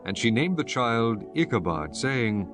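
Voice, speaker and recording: A man reading scripted scripture narration in a solemn, measured manner. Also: medium pitch, big pitch swings, music in the background.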